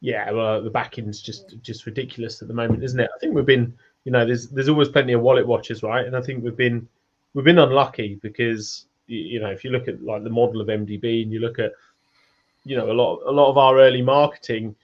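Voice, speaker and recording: A man hosts a casual online community chat over slightly dull, lo-fi call audio. His pitch sits in the middle, and he rambles along in a self-deprecating way, repeating short phrases as he goes. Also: fairly even intonation, brisk. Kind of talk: casual chat, reading out audience questions.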